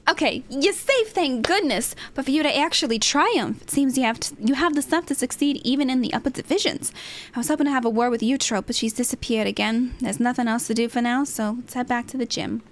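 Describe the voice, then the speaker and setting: A woman's voice: sits high, fairly quick. A woman voicing an earnest, supportive young female fighter's second in video game dialogue, sincere and subdued. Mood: relieved, thoughtful.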